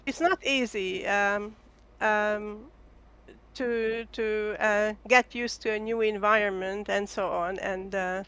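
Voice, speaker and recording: A woman's voice: medium pitch, fairly even intonation, slow, a bit bright, clean tone. A woman from Hungary talking as a guest in a casual chat, hesitant, with many ums and restarts. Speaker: guest artist.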